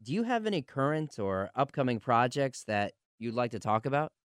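A man's voice: mid-range voice, big pitch swings, moderate pace, smooth, no room sound. A man hosting a music radio interview, putting prepared questions in a polite, courteous manner.

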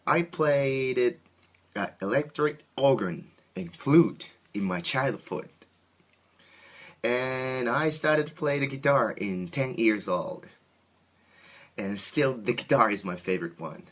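A man from Japan with a Japanese accent, a band musician answering interview questions in simple, halting second-language phrasing. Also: medium pitch, lively intonation.

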